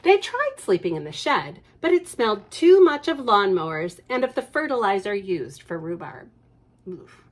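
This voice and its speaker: Expressive woman reading a picture book aloud. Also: mid-range voice, normal speed. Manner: expressive, with chatty asides to children. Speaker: children's librarian. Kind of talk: storytime read-aloud.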